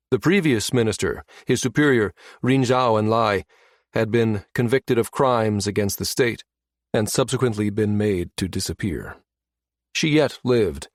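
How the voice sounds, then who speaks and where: A man narrates a science fiction audiobook in a low voice. The sound is dry, with very little room to it, and the delivery is that of scripted prose read aloud. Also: dry recording.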